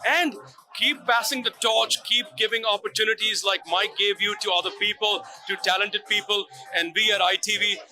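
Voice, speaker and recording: Effusive high-voiced male show host. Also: steady tone, moderate pace, bright, forceful tone, faint music behind, low-quality recording. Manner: effusive, hypes guests, repeats praise. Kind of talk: upbeat event interviews.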